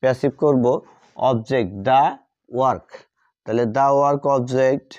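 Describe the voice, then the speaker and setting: Brief: male tutor, slow and repetitive, mellow, dull lo-fi sound. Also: mid-range voice, fairly even intonation. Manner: slow, repeats words while writing them out. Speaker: English grammar teacher. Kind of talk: slow classroom explanation while writing. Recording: dull lo-fi audio.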